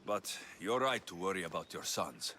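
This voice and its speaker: A forceful Greek-accented mercenary. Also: male voice, sits low, lively intonation, normal speed, slight background noise. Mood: stern, probing. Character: tough, level-headed Greek mercenary for hire. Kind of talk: video game cutscene acting.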